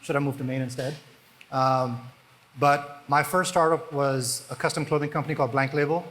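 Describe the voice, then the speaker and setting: A man, a startup founder, speaking on a conference panel with relaxed, dry humor. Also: mid-range voice, normal speed.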